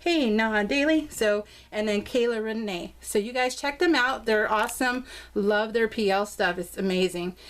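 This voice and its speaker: A woman's voice: fairly high pitch, normal speed. An American woman chatting casually and informally in a bubbly, gushing way as she shows her craft project. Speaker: craft vlogger showing her scrapbook album. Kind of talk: casual chatty narration of a craft show-and-tell.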